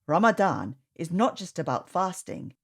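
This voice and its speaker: A woman voicing a kind, patient father explaining things gently to his children in a storybook. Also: high-pitched, sing-song, moderate pace, heavily acted. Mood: gentle.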